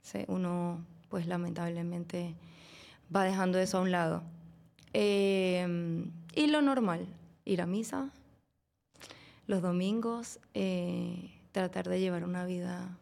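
A woman speaks low, her voice often dropping into a gravelly fry. She talks casually and haltingly, pausing on fillers as she recounts her own story as a guest. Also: steady tone, a little mellow. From Venezuela. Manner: hesitant, frequent fillers. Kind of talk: casual personal testimony.

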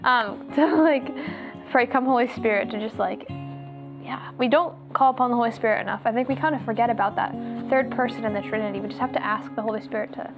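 A woman with a somewhat high, slightly hoarse voice leads a relaxed faith conversation. She rambles in a chatty way, stacking "like" through her sentences. Plucked guitar music plays clearly under her voice. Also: fast talker, highs cut off. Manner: chatty, fills speech with "like". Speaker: host. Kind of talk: casual faith discussion.

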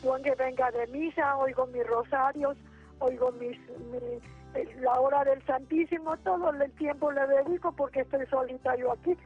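A woman's voice: high-pitched, steady tone, moderate pace, soft background music. A woman in her eighties with a Mexican accent, calling in to pray and share her troubles, devout and rambling with many restarts. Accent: Mexican Spanish. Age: 80s. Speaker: caller. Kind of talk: spoken prayer and testimony. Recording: tinny phone line.

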